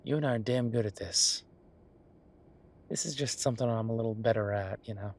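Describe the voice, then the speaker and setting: A man playing an affectionate, teasing chef boyfriend in an intimate roleplay audio, talking in a conversational, reassuring way with a husky voice. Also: medium pitch, a little fast, darkish tone. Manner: conversational, reassuring, playfully bantering. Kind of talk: intimate one-sided boyfriend roleplay acting.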